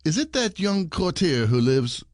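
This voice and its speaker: A man's voice: on the high side, big pitch swings, a little slow, a little mellow, no room sound, studio quality. A man playing a suspicious, bitter husband in a classic stage comedy, theatrical and probing. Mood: feigned calm.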